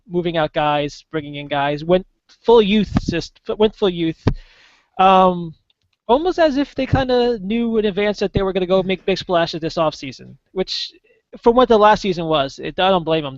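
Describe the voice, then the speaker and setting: A man talking as a guest soccer contributor in a casual podcast chat, halting with many fillers and restarts. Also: a little high, dry recording. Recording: dull lo-fi podcast audio, dry.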